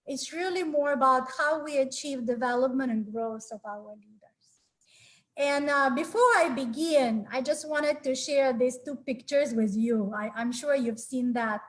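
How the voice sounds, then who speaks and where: A high-pitched woman from Ukraine in her forties presents a webinar from a small room at home. She talks in a friendly, earnest way, often pausing on fillers and starting her sentences again. The sound comes through a laptop webcam mic on a video call. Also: poor audio. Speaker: webinar speaker. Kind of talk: presenting a live webinar talk.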